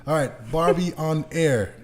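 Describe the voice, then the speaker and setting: A man's voice: a little high, measured pace, a little mellow, a little hiss or hum. A man hosting a podcast in casual interview chat, with irreverent, loose banter. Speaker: podcast host.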